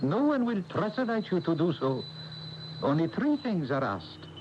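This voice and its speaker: An actor playing a serene, courteous Indian meditation guru in a radio drama, speaking formally and with gentle instruction. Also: male voice, high-pitched, sing-song, normal speed. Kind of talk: 1980 radio drama acting. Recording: noisy, muffled AM radio broadcast tape.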